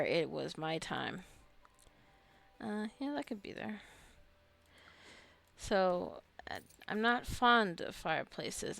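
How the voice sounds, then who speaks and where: A woman's voice: lowish voice, vocal fry. A woman giving casual, rambling gameplay commentary as a gaming video host, chatting and thinking aloud to herself and her viewers.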